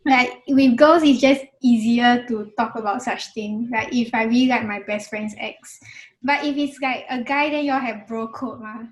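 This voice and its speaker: A woman's voice: on the high side, moderate pace, poor audio. A woman in her twenties with a Singaporean English accent, chatting casually in a group. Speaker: panel guest.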